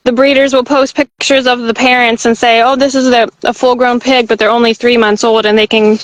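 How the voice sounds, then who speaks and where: A woman's voice: little pitch movement, brisk, no echo, rough recording. A woman who founded an animal sanctuary, talking casually on a phone video call from outdoors as she walks among the animals. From United States. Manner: slips into a high-pitched pet voice with animals.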